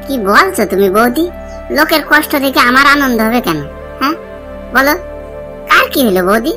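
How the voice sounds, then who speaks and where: A woman's voice: sits high, expressive, moderate pace, bright, forceful tone, soft background music. Woman as placating young village man, cartoon style. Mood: puzzled. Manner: questioning, placating. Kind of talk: comedy cartoon dialogue.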